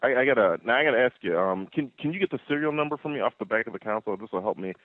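A man's voice: mid-range voice, animated, very fast, no room sound. A male customer support agent speaking on a phone call in an apologetic, accommodating way. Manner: apologetic, accommodating, hesitant phrasing. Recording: muffled narrowband phone line.

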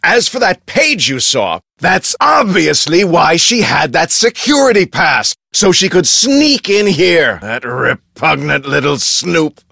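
A self-important, short-tempered male scientist in a video game. His voice runs fairly high and bright, swinging widely in pitch as he delivers formal, brusque lines with prickly arrogance. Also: big pitch swings, slow, gravelly, few pauses, dry recording, clean studio sound, full character voice. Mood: indignant. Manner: pompous, formal wording, brusque.

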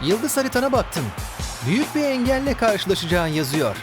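An actor voicing a cheeky, lively young football player in a cartoon dub. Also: male voice, high voice, moderate pace. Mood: teasing. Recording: music and crowd behind the voice.

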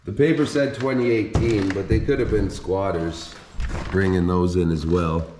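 A man's voice: low-pitched, fairly even intonation, moderate pace. A man touring an abandoned house and thinking aloud as he walks through with a handheld camera. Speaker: urban explorer vlogger. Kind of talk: casual walkthrough narration. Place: empty abandoned house indoors. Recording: echoey empty rooms, faint hiss.

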